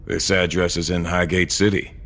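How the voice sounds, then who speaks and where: An actor playing a weary, stubborn old cyborg courier in a science-fiction audio drama, gruff, terse and dry. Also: male voice, low-pitched, flat delivery, normal speed, a bit bright. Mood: dry, reflective. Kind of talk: audio drama acting and first-person narration.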